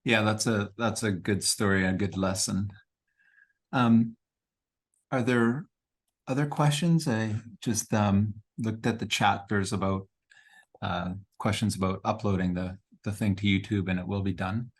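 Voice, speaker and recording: A man with a lowish voice hosting a video-call Q&A. He speaks slowly, stopping often with ums and uhs as he gathers his thoughts. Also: fairly even intonation. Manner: hesitant, many ums and pauses, slow. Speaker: moderator.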